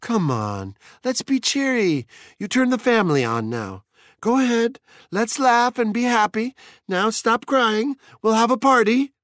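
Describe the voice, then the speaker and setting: An anxious wife, acted out by a male narrator, lifted well above his natural pitch and recorded dry. She coaxes and pleads with a brittle, forced cheer, in the manner of a storybook character. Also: expressive, takes it slowly, dry recording. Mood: pleading, forced cheer. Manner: pleading, coaxing.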